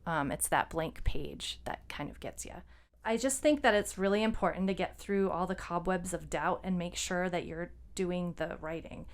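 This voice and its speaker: A woman's voice: fairly low pitch, normal speed. A woman co-hosting a podcast, talking casually and giving informal, encouraging advice as a writer. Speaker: podcast co-host and fiction writer. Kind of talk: casual conversational podcast talk.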